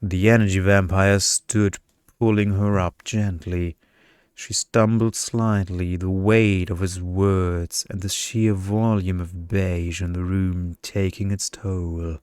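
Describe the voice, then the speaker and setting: A man reading a scripted bedtime story in ASMR style, with a hushed, seductive delivery into a close mic. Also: deep voice, fairly even intonation, takes it slowly, smooth, no room sound. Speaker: story narrator.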